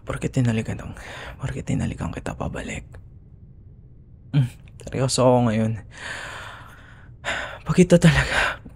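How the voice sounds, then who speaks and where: A man with a Filipino accent playing a young, grudging, secretly smitten school rival in an intimate, breathy ASMR roleplay, often dropping into whispers. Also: mid-range voice, animated, normal speed, long pauses, a little mellow, full character voice. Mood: confused, smitten. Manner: teasing, grumbling, hesitant. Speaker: audio roleplay voice actor.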